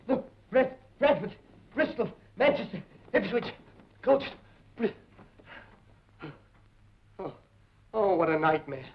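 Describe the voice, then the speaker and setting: An actor playing a distressed British postal sorter in a nightmare, in a 1940s public information film. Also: male voice, high voice, very animated, slow, long pauses, a little hiss or hum, exaggerated voice. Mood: delirious. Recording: dull vintage film soundtrack, loud music.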